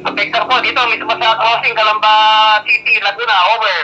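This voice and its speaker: A man's voice: high voice, level tone, a little fast, pushed and bright, lo-fi sound. A man making casual, polite exchanges as an amateur radio operator, heard over a two-way radio.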